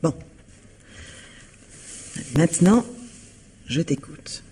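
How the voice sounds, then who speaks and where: An actress playing a concerned, insistent wife in a French radio mystery, with a probing, intimate way of talking. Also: female voice, low-pitched, lively intonation, very slow, warm tone, breathy edge, big-room sound, heavily acted.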